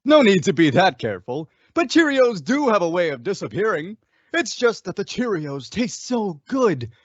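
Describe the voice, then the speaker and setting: Young man as a lively vintage radio pitchman. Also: normal speed, no echo. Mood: upbeat, persuasive. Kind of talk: 1950s radio advertisement delivery. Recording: dull, dry lo-fi recording.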